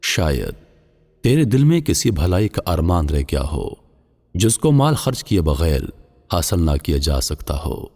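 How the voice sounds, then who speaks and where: A man narrating a scripted religious moral tale as a storyteller, in a measured, solemn manner. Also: sits low, sing-song, a little mellow, breathy edge. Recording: long hall-like reverb.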